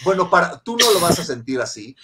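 A man with a Mexican Spanish accent co-hosting a fan podcast over a video call, talking in a lively way with quick asides and stammered restarts. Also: high-pitched, noisy background. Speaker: podcast co-host.

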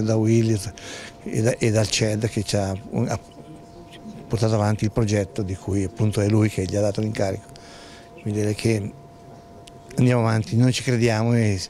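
Mellow, even older northern Italian man. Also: fairly low pitch. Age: 60s. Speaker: local administrator. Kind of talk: spontaneous interview answer. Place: indoor office. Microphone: handheld reporter microphone.

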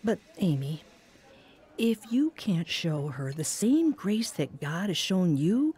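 Motherly older mentor, gentle, husky mellow voice, very expressive intonation, radio drama. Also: lowish voice, takes it slowly, heavily acted. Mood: gentle, encouraging. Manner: gentle, nurturing, counselling. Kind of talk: audio drama dialogue.

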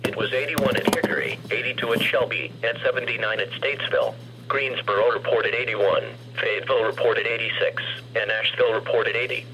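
A man's voice: medium pitch, fairly even intonation, brisk, sharp tone, slight background noise, poor audio. A synthetic American male voice reading an automated weather broadcast through a radio speaker. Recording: humming radio speaker picked up by camera.

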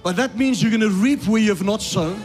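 A male pastor with a high voice preaches live from a church stage mic, softly backed by faint music. He moves from quiet asides to loud shouted peaks, repeating his phrases and checking that the crowd follows. His voice is going hoarse from the strain. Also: brisk. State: losing his voice. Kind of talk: live sermon to a congregation.

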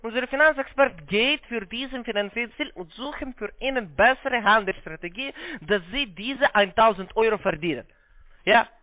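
A non-native speaker, a man with a foreign accent and halting, broken grammar, giving a phone sales pitch. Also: lively intonation. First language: not German. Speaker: call-centre investment salesman. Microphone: telephone. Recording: muffled band-limited phone line.

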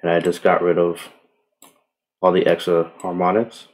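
A man giving a casual software tutorial in a relaxed, unhurried way with tentative asides. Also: low voice. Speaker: tutorial presenter. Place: indoors, voice-over on screen recording.

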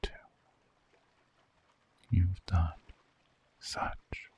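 A man voicing a soothing, seductive vampire lover in a slow, hypnotic ASMR sleep roleplay, half-whispering with a breathy, gravelly voice. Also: darkish tone, highs cut off. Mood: hypnotic, lulling. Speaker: ASMR voice actor.

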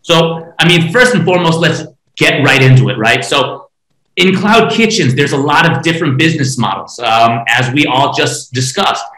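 Bright-voiced male panel moderator in echoey room. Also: fairly high pitch, lively intonation, moderate pace. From Taiwan. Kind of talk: hosting an online panel discussion. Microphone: video call, laptop distance. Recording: echoey room on video call.

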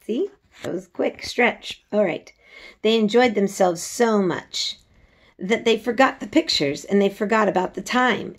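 A woman in her forties with a slightly husky voice, reading a story aloud in an expressive, informal way, like a teacher. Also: medium pitch. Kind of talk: read-aloud storytelling with casual asides. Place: small home room indoors. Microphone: phone at arm's length.

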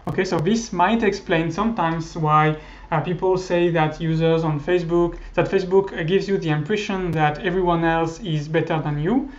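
A man's voice: fairly high pitch, moderate pace, few pauses. A man in his thirties whose first language is French, giving a recorded university lecture over slides, hesitant, with many fillers and restarts. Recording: slightly dull lo-fi audio.

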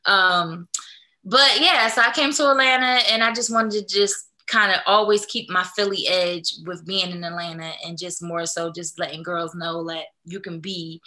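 A young woman from Philadelphia talks through her story in a casual video call from a small room. Her voice is bright and forward at a middle pitch, and she rambles easily with fillers and soft laughs. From Philadelphia, USA. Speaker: singer, guest. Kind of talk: casual interview.

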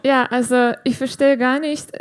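A woman in her thirties from Ukraine, with a Ukrainian accent, doing a stand-up set on a club stage into a handheld mic, halting and restarting as she searches for words. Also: high-pitched, steady tone. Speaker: stand-up comedian. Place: comedy club stage with live audience.

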